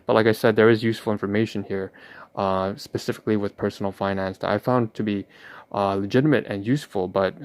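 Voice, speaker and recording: A man's voice: fairly low pitch, no echo. A man hosting a livestream, rambling through a narration of what he is doing in hesitant, wordy and slightly formal phrasing. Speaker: livestream host. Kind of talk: rambling vlog narration while sorting paperwork.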